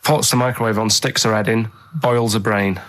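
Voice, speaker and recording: A man's voice: lowish voice, fairly even intonation, normal speed, a bit bright. A man chatting casually on a radio show, telling rambling anecdotes in an earnest, matter-of-fact way. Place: radio studio.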